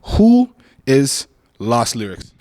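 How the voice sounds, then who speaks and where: A man's voice: mid-range voice, wildly swooping pitch, very slow, darkish tone, no echo. Man, podcast host, mid-range voice, laid-back, lots of fillers, casual chat. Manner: laid-back, heavy on fillers like "you know".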